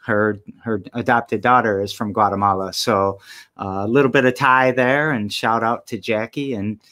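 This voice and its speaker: A man interviewing a guest on a video call, rambling and filling pauses with "uh" and "um". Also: lowish voice, steady tone, bright, forceful tone. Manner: rambling, many "uh" and "um" fillers.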